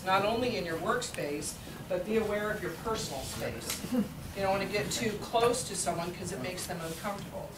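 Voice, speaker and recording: Loud-voiced woman presenter in echoey room. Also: on the low side. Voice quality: loud projecting voice. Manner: earnest, warm advice with stammered restarts. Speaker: workshop presenter. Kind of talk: business etiquette seminar talk. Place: small meeting room with audience. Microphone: distant camera mic. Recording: echoey room from far off, background hum.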